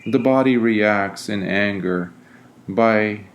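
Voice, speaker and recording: A man leading a guided meditation in a deliberate, soothing way, pausing often between phrases. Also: fairly low pitch, highs cut off. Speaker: meditation guide, Buddhist monk.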